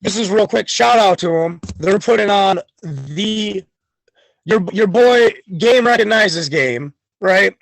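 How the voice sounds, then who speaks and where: A man's voice: sits high, expressive, takes it slowly, vocal fry, no echo, low-quality recording. A man talking as a wrestling guest on a podcast, boastful and hyped, full of swagger. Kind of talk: podcast chat.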